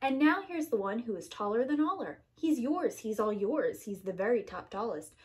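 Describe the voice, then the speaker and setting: Female preschool teacher for children's read-aloud: higher-pitched, very expressive, sing-song rhyming delivery with emphatic rhythm. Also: moderate pace. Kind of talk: animated read-aloud of a rhyming picture book.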